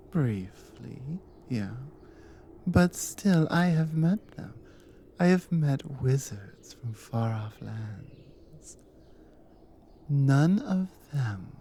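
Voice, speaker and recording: A woman playing a devoted, dominant Nordic warrior wife in an intimate ASMR audio roleplay, speaking softly and earnestly, often dropping to a whisper. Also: rumbling low, slight background noise, full character voice. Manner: soft, hushed, often breathy whispering, slow and earnest.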